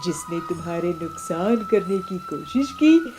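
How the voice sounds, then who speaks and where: A woman voicing a gentle, protective god in a children's cartoon, soft and soothing. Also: on the low side, very animated, a little slow, slight background noise. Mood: tender, reassuring.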